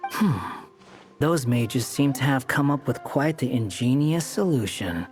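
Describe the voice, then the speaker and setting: An actor playing an aloof, sardonic young man in a video game, with a cool, smug, deliberate manner. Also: mid-range voice, lively intonation, darkish tone, soft background music, close to an everyday voice.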